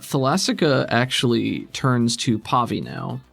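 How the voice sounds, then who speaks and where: Creaky-voiced man as game master. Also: mid-range voice, expressive, a little slow, a bit bright, no room sound, faint music behind. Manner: casual, descriptive. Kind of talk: narrating a tabletop roleplaying game.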